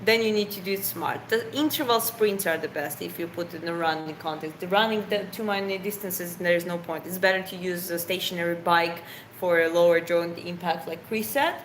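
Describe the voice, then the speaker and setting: A woman's voice: lowish voice, a little fast, hardly any pauses, roomy sound. Female stream co-host, chatty and explanatory, faint hiss. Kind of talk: casual Q&A chat.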